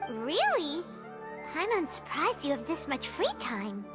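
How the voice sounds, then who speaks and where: Chatty high-pitched game fairy, cheeky and exclaiming, with music behind. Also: female voice, wildly swooping pitch, unhurried, heavily acted. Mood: teasing. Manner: teasing, bouncy, exclaiming. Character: small floating female fairy companion, cheeky and chatty.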